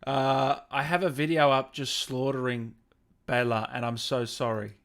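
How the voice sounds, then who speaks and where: A man's voice: mid-range voice, fairly even intonation, normal speed, fairly bright tone. A man hosting a casual livestream interview, chatting and reading out comments from viewers. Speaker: interview host.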